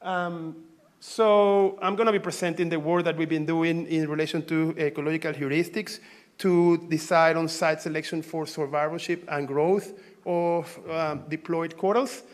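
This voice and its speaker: A man's voice: fairly high pitch, fairly even intonation. Venezuelan-born man giving a conference talk. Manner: conversational, halting, frequent fillers and restarts. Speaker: researcher presenting at a symposium.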